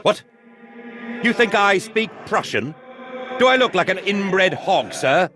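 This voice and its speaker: An actor with a British accent playing a haughty, tormented Victorian gentleman in a horror game, theatrical, formal and intense. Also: sits high, animated, slow, faint music behind, exaggerated voice. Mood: haughty, irritated. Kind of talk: dramatic horror game acting. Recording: noisy game recording with ambient sound.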